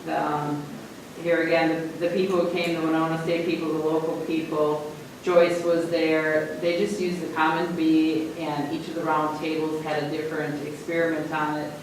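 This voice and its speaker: A man giving a school principal's report at a board meeting, halting with many fillers and restarts. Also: fairly high pitch, flat delivery. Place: large meeting room. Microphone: distant room mic. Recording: echoey room from far off, noisy.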